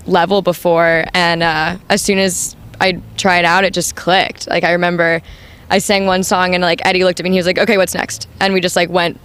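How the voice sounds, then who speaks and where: A young adult woman with a high voice, the band's new singer, answering interview questions casually with many "like"s and "um"s. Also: flat delivery, a little fast, a bit bright, clear, resonant tone. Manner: chatty, full of "like" and "um".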